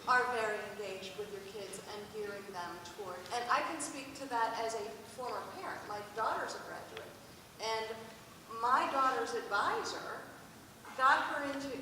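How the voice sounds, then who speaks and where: A woman's voice: a little high, bright, forceful tone. A middle-aged American woman answering conversationally as a college admissions panelist. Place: large hall at a public panel event. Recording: echoey noisy hall, distant mic.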